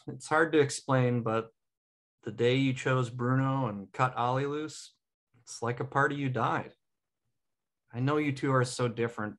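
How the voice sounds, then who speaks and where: A man's voice: medium pitch, fairly bright tone, natural voice. A man playing an insightful, cocky, supportive best friend in a sitcom table read. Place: home room on a video call.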